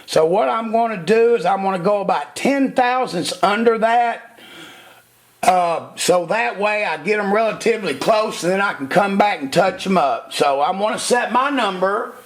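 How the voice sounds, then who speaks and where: Folksy high-voiced man, echoey shop. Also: moderate pace. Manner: folksy, explanatory, talks to viewers as y'all. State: losing his voice. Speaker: cylinder head porter. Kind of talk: workshop demonstration. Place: workshop. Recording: echoey workshop.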